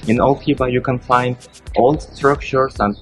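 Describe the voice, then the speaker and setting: A man's voice: medium pitch, steady tone, unhurried. A young man explaining things to camera outdoors. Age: young adult. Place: outdoors among old stone buildings. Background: faint music.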